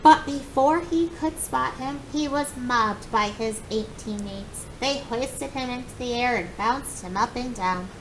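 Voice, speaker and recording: A woman reading a children's story aloud in an animated, expressive way, acting out the dialogue. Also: unhurried, hardly any pauses. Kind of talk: animated children's book narration. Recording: clear background noise with low rumble.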